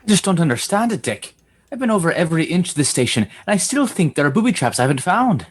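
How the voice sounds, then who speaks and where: A man's voice: fairly high pitch, big pitch swings, fast, slightly rough. An actor playing a fretful, frustrated male chief engineer on a space station in a comedic radio play. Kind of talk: comedic radio theater acting.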